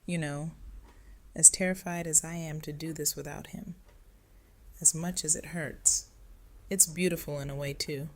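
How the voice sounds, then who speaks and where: A woman's voice: sits low, fairly even intonation, normal speed, pauses often. An actress playing a resilient, weary widowed mother who speaks frankly in an audio drama. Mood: tender sorrow.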